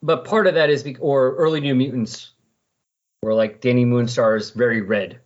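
A man's voice: medium pitch, steady tone, moderate pace, muffled recording. A man talking casually as a podcast co-host in a rambling, conversational way about comics.

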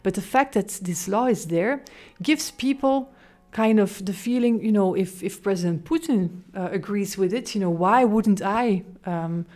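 Mellow-voiced woman professor. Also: mid-range voice, unhurried. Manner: thoughtful, with fillers. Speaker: professor interviewee. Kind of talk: interview answers.

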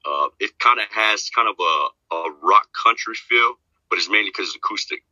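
A man talking casually as a podcast guest, rambling and repeating himself, with a deep voice. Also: expressive, moderate pace, bright, forceful tone, no room sound, muffled recording. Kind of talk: casual chat.

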